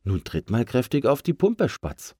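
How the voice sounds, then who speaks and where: A man's voice: mid-range voice, huge pitch swings, normal speed, mellow, no echo, clean studio sound, voice pushed up. A man voicing a kindly, practical air pump that gives instructions with wry wit in a children's story. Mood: calm, encouraging.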